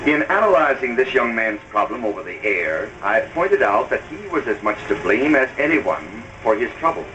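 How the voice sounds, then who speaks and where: A man's voice: medium pitch, big pitch swings, normal speed, hardly any pauses. A man giving formal, didactic narration in the style of an old radio advice commentator. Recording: dull vintage soundtrack with music.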